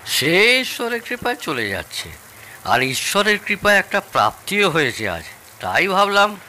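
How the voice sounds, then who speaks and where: An actor playing a proud, excitable host in his sixties in an audio drama, theatrical, boastful and needling. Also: sits high, normal speed, slight background noise, full character voice. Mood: eager, welcoming. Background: rain.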